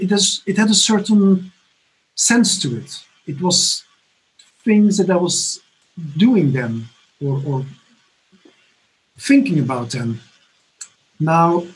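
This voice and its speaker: Halting Belgian-born man in his fifties. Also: on the high side, rough recording. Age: 50s. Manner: halting, many restarts and pauses, earnest. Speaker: recovery conference speaker. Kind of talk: personal testimony talk. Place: home apartment.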